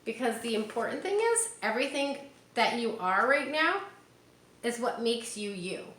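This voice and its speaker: A woman's voice: on the high side, very animated, normal speed, a bit bright. A woman reading a picture book aloud to children, speaking to them directly and encouragingly with casual asides. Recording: roomy echo, faint background noise.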